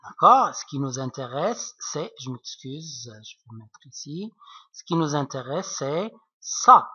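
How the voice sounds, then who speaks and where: A man's voice: a little high, sing-song, takes it slowly, sharp tone, breathy edge, lo-fi sound. A man with an Arabic accent, a native Arabic speaker, explaining French poetry to students in a patient, repetitive way. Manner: patient, repetitive, checks with frequent asides. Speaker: French literature teacher. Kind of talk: classroom explanation.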